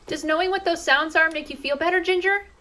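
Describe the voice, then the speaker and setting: A high, girlish young bunny big sister, acted by a woman for a children's bedtime story. She is caring and patient, talking in a childlike, reassuring way. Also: fast, sharp tone.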